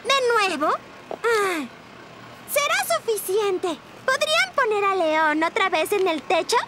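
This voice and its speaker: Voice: big pitch swings, slow, heavily acted. An actor playing an eager, insistent young girl with a childlike voice in a cartoon dub. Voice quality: high, childlike. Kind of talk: animated cartoon dubbing.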